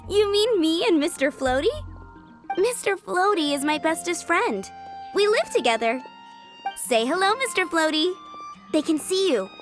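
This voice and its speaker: A woman's voice: very high-pitched, very animated, measured pace, over music, heavily acted. A woman voicing a cheerful, dreamy little girl who sells flowers, sweet and giggly.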